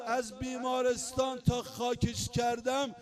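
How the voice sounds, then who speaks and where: Brief: a high-pitched male eulogist, emotional chanted lament, with soft music behind. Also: completely flat delivery. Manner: emotional, wailing, half-sung lament. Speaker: religious eulogist. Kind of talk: chanted mourning sermon.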